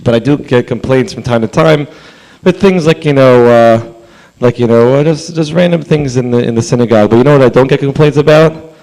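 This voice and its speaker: A man whose voice is hoarse after a long holy day speaks at a council meeting. He talks in an earnest, chatty way with fillers and asides, at a middle pitch. He is clearly addressing a room from a podium. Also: a little fast, darkish tone, rough recording. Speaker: rabbi speaking at public comment. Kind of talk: public comment speech. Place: council chamber.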